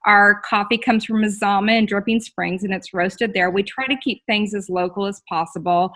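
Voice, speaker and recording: Chatty woman in her forties, bright and forceful, interview guest on a video call. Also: mid-range voice, steady tone, normal speed. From Texas. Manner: chatty and laughing, with filler ums. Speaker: guest, store owner. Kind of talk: casual interview.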